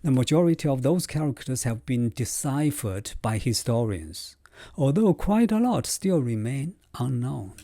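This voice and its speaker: A male professor, explaining his subject in a measured, scripted way. His pitch moves a lot, lifting to stress key points, and his voice is warm and mellow. The recording is dry and close, with no room sound. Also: sing-song, no room sound. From China. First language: Chinese. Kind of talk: scripted lecture.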